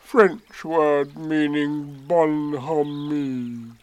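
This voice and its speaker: A man's voice: fairly high pitch, steady tone, clean tone, pitched above the performer's own voice. A man voicing a gloomy, self-pitying old grey donkey from a children's storybook, drawing out words with mournful sarcasm. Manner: slow, drawn-out, mournful and sarcastic delivery.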